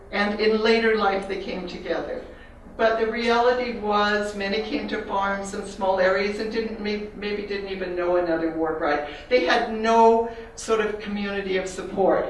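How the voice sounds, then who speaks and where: An older woman with a Canadian accent gives a reflective, personal slide talk about her artwork to an audience, pausing with fillers. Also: mid-range voice, slight background noise. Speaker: artist giving a talk. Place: large echoey room. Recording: very echoey hall from a distance.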